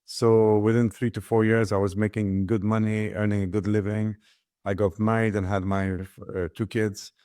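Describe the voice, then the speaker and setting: A man's voice: fairly low pitch, flat delivery, moderate pace, dark tone, clear, resonant tone, dry recording, studio quality. A middle-aged man from Ghana, a guest telling his life story in a thoughtful way, often restarting and repeating his words. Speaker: guest, business founder and author. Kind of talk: reflective personal storytelling.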